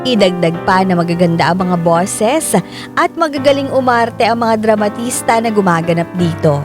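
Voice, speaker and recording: A woman's voice: mid-range voice, lively intonation, normal speed. A woman delivering scripted first-person storytelling as the letter narrator of a radio drama. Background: music.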